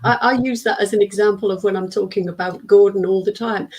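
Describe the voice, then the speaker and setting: A middle-aged woman from the UK, a spiritualist medium and teacher, chatting in an interview with a croaky, worn-out voice and acting out little quoted exchanges as she tells her stories. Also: mid-range voice, steady tone, fast talker, background noise. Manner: warm storyteller who acts out quotes of students. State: strained, worn-out throat. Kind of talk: casual interview chat.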